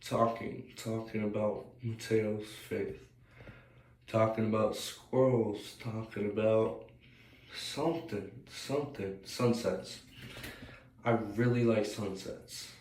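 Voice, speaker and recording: Young American male vlogger, slightly scratchy and low, hesitant unscripted rambling, recorded roomy and away from the mic. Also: fairly even intonation, slow pace, darkish tone. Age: young adult. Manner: rambling, hesitant, thinking aloud. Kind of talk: casual, unscripted personal reflection. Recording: roomy, distant mic, faint noise.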